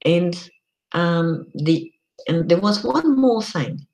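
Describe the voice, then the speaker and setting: Slow-talking woman with a croaky voice. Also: fairly low pitch, lively intonation, a little mellow, rough recording. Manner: slow, chatty, frequent ums. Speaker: live stream host. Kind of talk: casual solo live stream.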